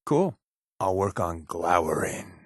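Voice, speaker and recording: An actor playing a wisecracking, laid-back undercover rebel agent in a video game, glib and sardonic in a conversational way. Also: male voice, deep voice, big pitch swings, slow pace, breathy edge, dry recording, clean studio sound, heavily acted. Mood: easygoing, joking. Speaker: video game companion character.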